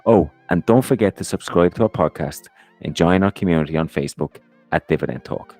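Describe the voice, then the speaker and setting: A man's voice: low-pitched, a little fast, darkish tone, faint music behind. A man co-hosting a podcast. He reads a scripted intro, then leads the interview in an easygoing, apologetic way. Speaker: podcast co-host.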